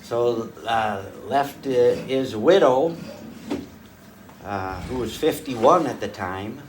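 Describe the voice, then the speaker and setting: A man's voice: mid-range voice, expressive, slow. Older male folk fiddler, rambling folksy storyteller, recorded from across the room with faint room hum. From rural northwest North Dakota. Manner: rambling, folksy, with frequent uh's and restarts. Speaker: folk fiddler giving a talk. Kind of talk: casual storytelling to a small audience. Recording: distant mic, faint room noise.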